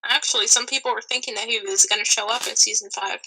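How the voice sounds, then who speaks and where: A woman's voice: on the high side, steady tone, fast, pushed and bright, rough recording. A woman talking casually as a guest on a podcast. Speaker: podcast guest, voice actress and writer. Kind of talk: casual chat.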